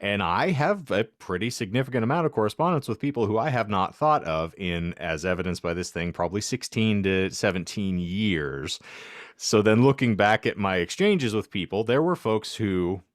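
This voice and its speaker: Low-voiced, wordy male podcast storyteller. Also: animated, moderate pace, no room sound, clean studio sound. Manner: wordy, wry, self-deprecating, with ornate vocabulary. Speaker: podcast co-host.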